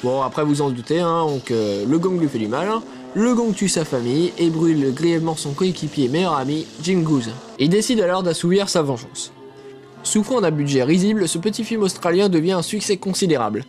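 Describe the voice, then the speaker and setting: A man's voice: on the high side, lively intonation, brisk, a little mellow, faint music behind. A man hosting a film review show, reading scripted narration with casual, joking asides.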